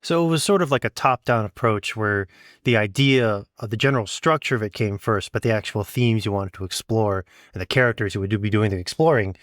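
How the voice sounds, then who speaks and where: A man's voice: fairly low pitch, brisk, dry recording. Casual male host, dry close sound. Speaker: interviewer, host. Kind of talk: casual interview chat.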